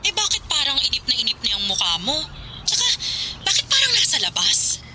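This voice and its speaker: An actress playing a nosy, teasing close friend with a Filipino accent in a radio drama. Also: female voice, high-pitched, huge pitch swings, brisk, background noise. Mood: curious, teasing. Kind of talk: Tagalog radio drama acting.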